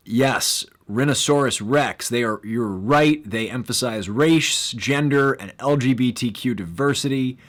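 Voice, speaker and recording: Young male streamer, lowish voice, loose rambling delivery, gets excitable. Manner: rambling, filler-heavy, excitable. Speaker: live stream host. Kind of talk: casual live stream chat.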